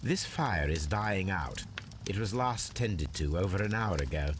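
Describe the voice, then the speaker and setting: A low-voiced English gentleman detective from a video game. He is shrewd and formal, picking out each observation precisely with lively rises and falls. Faint to clear background ambience sits behind him. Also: a little slow, background noise. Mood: observant, analytical.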